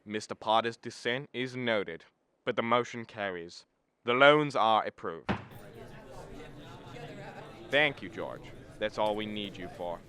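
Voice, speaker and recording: Young guy playing a formal board chairman in a radio play, lowish voice, orderly. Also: lively intonation, slow pace, long pauses, sharp tone, clean tone, heavily acted. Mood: businesslike. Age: young adult. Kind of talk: student radio drama acting.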